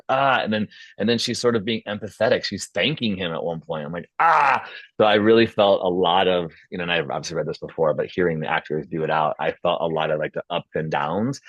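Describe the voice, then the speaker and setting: Low-voiced man hosting a table read. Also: no echo, muffled recording. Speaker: host and script narrator. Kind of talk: stage-direction reading and casual feedback discussion.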